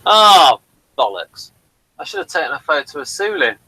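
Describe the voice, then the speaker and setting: Bright-voiced man rambling through a review. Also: a little high, sing-song, rough recording. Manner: rambling, halting delivery. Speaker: host of a TV review show. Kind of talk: unscripted casual review chat.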